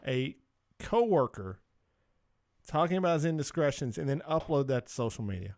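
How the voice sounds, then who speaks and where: Animated male podcast host, close dry sound. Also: mid-range voice, normal speed, no room sound. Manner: informal, swearing, opinionated, uses "dude" often. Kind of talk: casual talk show banter.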